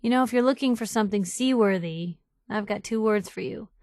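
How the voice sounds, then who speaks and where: A woman's voice: medium pitch, a little fast, a little mellow, no echo, natural voice. Actress as a sardonic young woman reporter, dry recording. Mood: wry. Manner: wry, dry-witted, conversational. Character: sardonic, plucky young woman reporter in post-apocalyptic wasteland. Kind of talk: video game character voice lines.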